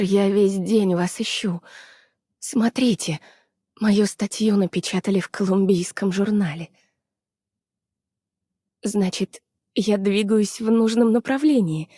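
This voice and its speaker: An actress voicing a weary, anxious young woman scientist from the frozen north in a game dub, soft and hesitant. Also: medium pitch, no echo, clean studio sound. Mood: shyly happy. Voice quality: soft, husky, breathy. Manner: hesitant, subdued, apologetic. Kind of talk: Russian dub of game character voice lines.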